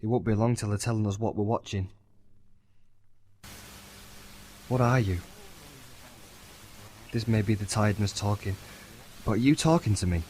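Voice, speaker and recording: Man acting a tormented sleepless young man, low-pitched. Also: a little fast, long pauses, a little mellow, slight background noise, natural voice. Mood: weary, rattled. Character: tormented sleepless young man, guilt-ridden and increasingly paranoid. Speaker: actor. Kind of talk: audio drama monologue.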